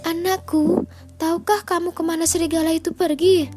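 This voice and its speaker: Wanita memerankan induk domba penyayang bersuara tinggi. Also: female voice, extremely high pitch, level tone, normal speed, dark tone, soft background music, voice pushed up. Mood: urgent. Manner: emotional, motherly. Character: loving, anxious mother sheep.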